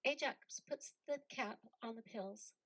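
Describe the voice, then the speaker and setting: A woman's voice: fairly high pitch, measured pace, fairly bright tone, dry recording. A woman giving scripted narration as the reader of stage directions for a play.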